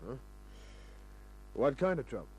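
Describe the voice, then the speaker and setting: A man's voice: medium pitch, very animated, normal speed, darkish tone, lightly acted. An Australian man acting a wry, tough, flirtatious private investigator in a laconic 1950s radio crime drama style. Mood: wry, casual. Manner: laconic, wisecracking. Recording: noisy old radio recording with hum.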